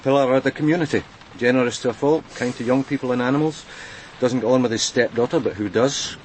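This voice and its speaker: Actor as a probing police sergeant, mid-pitched. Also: male voice, quick pace, a little hiss or hum, natural voice, rough recording. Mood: matter-of-fact. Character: steady, probing police sergeant, quietly wry. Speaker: detective sergeant. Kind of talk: naturalistic radio crime drama acting.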